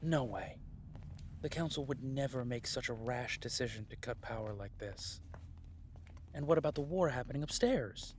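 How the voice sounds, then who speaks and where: A man's voice: mid-range voice, normal speed, slight background noise, close to an everyday voice. A man playing an earnest rebel soldier. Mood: doubtful. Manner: earnest, analytical. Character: young male rebel soldier, analytical and earnest. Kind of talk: audio drama acting.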